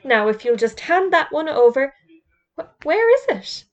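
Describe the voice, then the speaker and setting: A woman's voice: high-pitched, sing-song, measured pace, dull audio, voice pushed up. Storybook figure: a blustering, prim male headteacher, voiced by a woman. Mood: disapproving. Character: fussy, pompous middle-aged male headteacher.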